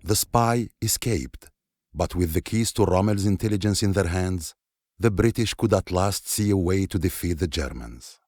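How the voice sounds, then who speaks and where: An actor playing a grave man who recalls the war in North Africa, narrating a game cutscene deliberately over loud battle sounds. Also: low voice, lively intonation, no room sound, clean studio sound. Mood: solemn, resolute. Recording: loud war ambience, artillery and vehicles behind.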